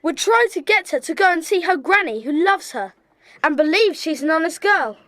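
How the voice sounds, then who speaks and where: High-pitched, bossy schoolboy sleuth. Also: female voice, lively intonation, moderate pace, pushed and bright, no echo, heavily acted. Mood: earnest. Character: schoolboy leader of child detectives, bossy, decisive. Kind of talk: children's audio drama acting.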